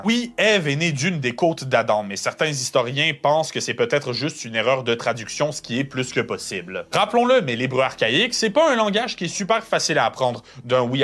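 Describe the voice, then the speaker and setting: A man's voice: medium pitch, a bit bright. A man narrates a scripted video essay in a colloquial, slangy storytelling style with wry asides.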